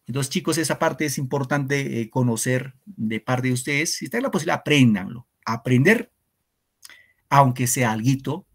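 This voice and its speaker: Guy teaching online class, earnest, kind of reflective, lots of rhetorical questions, average pitch. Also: normal speed, slightly rough. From Peru.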